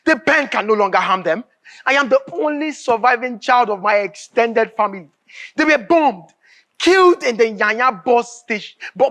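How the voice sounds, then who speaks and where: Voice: mid-range voice, fairly bright tone. An actor with a Nigerian accent playing an anguished, bitter writer in a live stage drama, declaiming emphatically and repeating phrases. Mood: bitter, grieving. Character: anguished, bitter writer raging at a corrupt nation.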